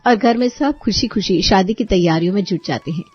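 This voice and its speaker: A woman's voice: medium pitch, fairly quick, slightly rough, highs cut off, soft background music. A woman reading scripted storybook narration as the narrator.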